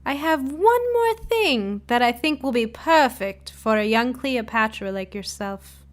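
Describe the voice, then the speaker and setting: A woman voicing a fat, mustached costume-shop owner, a man with a grand, flattering showman's manner, in a story. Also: on the high side, unhurried. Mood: coaxing, flattering.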